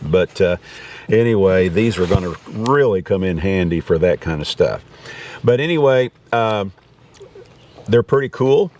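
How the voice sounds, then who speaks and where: A man's voice: deep voice, expressive, a little slow, darkish tone, recorded from a distance. An American man hosting a casual, unscripted gear review in a folksy, rambling way. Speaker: vlog host reviewing gear. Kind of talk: casual unscripted product talk. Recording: clear background noise.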